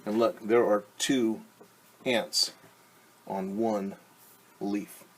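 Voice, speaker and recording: A man teaching young children, reading a picture book aloud and gently asking them questions. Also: lowish voice, steady tone, drawn-out words, a little hiss or hum, poor audio. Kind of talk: children's story time read-aloud.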